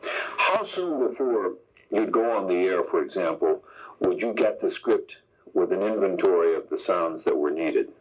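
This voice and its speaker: A man's voice: deep voice, expressive, unhurried, breathy edge. A man hosting a radio show, putting questions to a guest in a broadcast interview. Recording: dull lo-fi radio broadcast tape.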